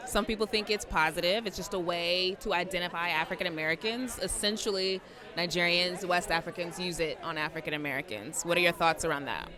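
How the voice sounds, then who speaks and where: A woman interviewing guests on a red carpet, quick and affirming, with frequent short agreements. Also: fairly low pitch. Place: outdoor red carpet event. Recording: outdoor event with music and crowd.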